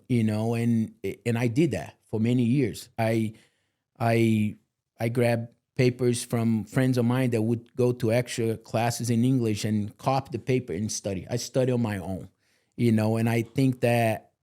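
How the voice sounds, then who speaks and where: Earnest man, mellow voice. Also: medium pitch. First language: Portuguese. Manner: earnest, motivational, lots of "you know" fillers. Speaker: guest. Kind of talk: candid podcast interview.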